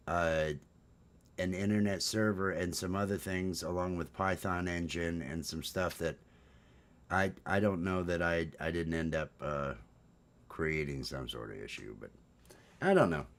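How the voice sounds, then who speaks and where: A man with a baritone voice hosts a casual morning chat, rambling with restarts and fillers. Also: a little slow. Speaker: host.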